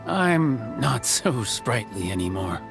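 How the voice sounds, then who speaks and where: A gravelly old carpenter. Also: male voice, mid-range voice, big pitch swings, takes it slowly, full character voice. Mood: complaining. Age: older. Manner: chuckling, rambling old man. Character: friendly old male carpenter, chatty and a bit grumbling. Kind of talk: video game voice acting. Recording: game audio with music underneath.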